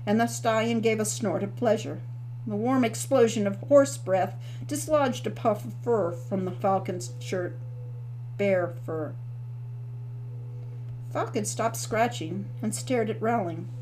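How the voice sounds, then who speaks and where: A woman author reading her novel aloud as the narrator, in a steady storytelling manner. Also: mid-range voice, unhurried, a little hiss or hum, rough recording. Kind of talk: scripted audiobook narration.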